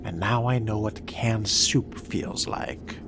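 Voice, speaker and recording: Low, wry theatrical man role-playing a vampire. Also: takes it slowly. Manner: wry, theatrical, formal turns of phrase. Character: sardonic, predatory fledgling vampire stalking prey. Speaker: gamer role-playing through a video game. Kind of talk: in-character solo game commentary. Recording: loud music over the voice.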